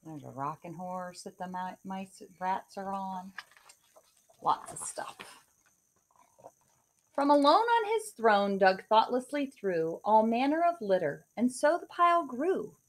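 A woman in her forties, a teacher, reading a rhyming picture book aloud in a sing-song, expressive way at home into a webcam. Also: medium pitch, slow. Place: home living room.

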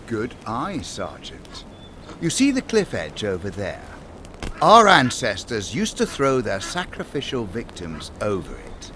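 An actor in an audio drama playing an urbane, menacing island cult leader, politely mocking and grandiose. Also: male voice, on the low side, huge pitch swings, sharp tone, a little hiss or hum, exaggerated voice. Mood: suave, grandiose. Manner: grandiose, polite, drawn-out taunting delivery.

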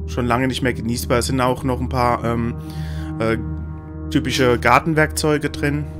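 A man narrating a tabletop game as game master, halting as he searches for words. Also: mid-range voice, level tone. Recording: music playing behind the voice.

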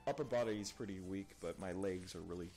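A man's voice: deep voice, fast talker, warm tone. A young man playing a game on stream, chatting casually and laughing a lot. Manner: jokey, laughs often. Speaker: let's play streamer. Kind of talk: casual gameplay chat. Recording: game music playing behind.

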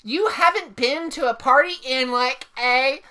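A woman playing a chummy, coaxing teenage girl from a fan fiction story, with drawn-out, exaggerated delivery over a noisy, clicky background. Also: high voice, pushed and bright.

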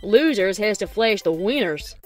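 A woman's voice: mid-range voice, expressive, a little slow, soft background music. A woman voicing a hearty, no-nonsense teenage cartoon country girl in a fan audio story. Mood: amused, bossy. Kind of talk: animated character acting in a fan audio story.

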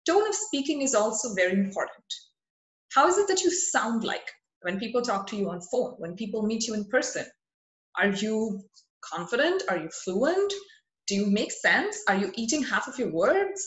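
A woman's voice: on the high side, sing-song, normal speed, echoey room, rough recording. A woman in her thirties from India with an Indian accent, giving an earnest, motivational webinar talk over slides. Her first languages are Hindi, Marwari and Bengali. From India (rural West Bengal). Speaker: webinar presenter.

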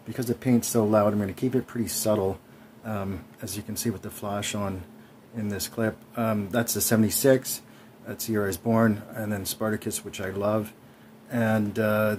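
A man's voice: lowish voice, darkish tone, slight background noise. A man in his forties casually narrating his bike build over clips, chatty with frequent ums. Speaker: cycling vlogger.

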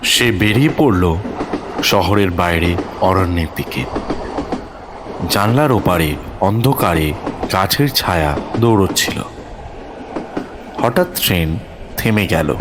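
Slow, low-voiced man narrating suspense. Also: animated, noisy background. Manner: slow, suspenseful phrasing with deliberate pauses. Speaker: audio story narrator. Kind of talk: dramatic scripted horror-thriller narration.

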